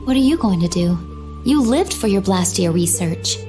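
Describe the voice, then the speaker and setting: A woman voicing a serene, coy young female warrior in a video game, with a quietly wistful manner. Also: mid-range voice, faint music behind, close to an everyday voice. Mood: coy, gentle. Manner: calm, coy, unhurried. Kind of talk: video game cutscene acting.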